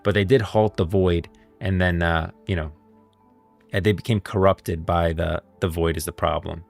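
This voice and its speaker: Low-voiced man giving casual lore commentary. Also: steady tone, normal speed, vocal fry, soft background music. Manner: rambling, repeats phrases as he reasons aloud. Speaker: streamer reacting to and explaining game lore. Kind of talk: casual live commentary with read-out dialogue.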